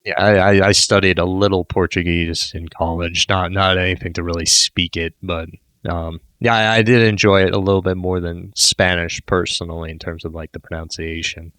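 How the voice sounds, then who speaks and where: A man hosting a podcast, asking rambling, filler-heavy questions in a relaxed chat. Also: sits low, moderate pace, clear, resonant tone, no echo. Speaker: podcast host. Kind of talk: casual interview chat.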